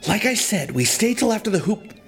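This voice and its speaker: Man acting a lively, wary voice of reason. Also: high voice, fairly quick, faint music behind. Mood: wary, urgent. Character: sensible, wary leader of bickering friends. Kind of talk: comic audio drama acting.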